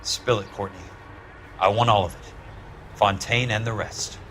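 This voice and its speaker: An actor in a noir video game playing a tough, sardonic, principled ex-military investigator with wry, clipped delivery. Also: male voice, on the low side, fairly even intonation, unhurried, a bit bright, slight background noise. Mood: stern, scornful. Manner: wry, hard-boiled, clipped.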